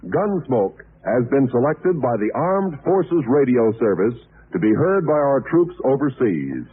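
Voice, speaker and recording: A male announcer from the golden age of radio, formal and dramatic, with sweeping intonation. His voice has a husky edge and comes through a narrow, tinny old broadcast. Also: medium pitch, sing-song, unhurried.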